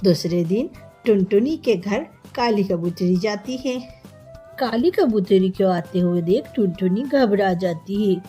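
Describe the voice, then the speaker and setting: A woman's voice: medium pitch, lively intonation, unhurried, dark tone, soft background music. A woman narrating a scripted children's story as a storyteller.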